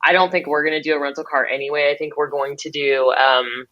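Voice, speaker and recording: A woman hosts a podcast in a chatty, upbeat way, with loose fillers and easy laughter. Her voice sounds bright and sharp. The call audio comes through dull and lo-fi. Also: sits low, steady tone, fast talker. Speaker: podcast host. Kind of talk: casual interview chat.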